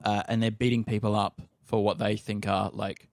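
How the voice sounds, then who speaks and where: An Australian man in casual discussion, speaking in a halting, thoughtful way. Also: lowish voice, flat delivery, no room sound, studio quality. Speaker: actor and podcast cast member.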